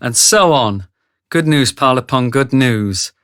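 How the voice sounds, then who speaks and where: A man's voice: medium pitch, expressive, unhurried, a bit bright, no room sound, clean studio sound. A man reading scripted narration for a radio drama, telling the story.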